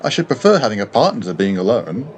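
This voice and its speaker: An earnest, lonely gentleman and former army doctor from a classic tale, voiced by a male narrator. He speaks formally and plainly wants company. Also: lowish voice, sing-song, fast talker. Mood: eager.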